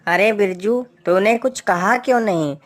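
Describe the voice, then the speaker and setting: कलाकार की आवाज़ में घबराया भोला चोर, तेज़ शोर. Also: male voice, sits high, moderate pace, very noisy, natural voice. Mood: frightened, pleading. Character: simple-minded, timid male thief and hapless sidekick. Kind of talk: animated Hindi moral-story dialogue.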